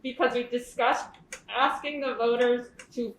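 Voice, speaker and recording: Woman board member, muffled by a mask, high bright voice, loud and questioning, echoey room. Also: fairly even intonation, measured pace, a loud crowd. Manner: speaks up loudly through a mask, questioning. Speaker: selectboard member. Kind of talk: meeting discussion. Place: town meeting room.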